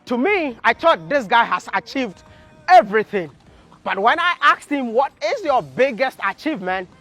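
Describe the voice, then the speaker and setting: A man's voice: extremely high pitch, moderate pace. A man narrating a travel vlog as host, enthusiastic and speaking straight to the viewer. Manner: enthusiastic, lively, addresses the viewer. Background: faint music.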